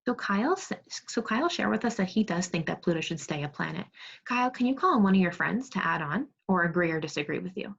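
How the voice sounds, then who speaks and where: A woman teaching second grade over a video call, reading aloud, giving clear instructions and prompting pupils with questions. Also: fairly low pitch, expressive, fast talker. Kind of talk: interactive read-aloud lesson on video call. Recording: dull, muffled video call audio.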